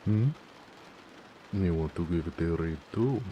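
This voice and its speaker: A man voicing a gentle, bashful orc blacksmith who speaks hesitantly and affectionately in an intimate romance audio roleplay. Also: low-pitched, slight background noise. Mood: inviting. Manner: soft-hearted, hesitant, with pauses and hedging.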